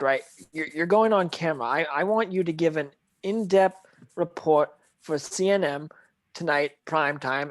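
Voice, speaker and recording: A man playing an earnest businessman in a scripted table reading, reading in a halting way with repeated words. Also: fairly high pitch, close to an everyday voice. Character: earnest businessman giving orders, remorseful son.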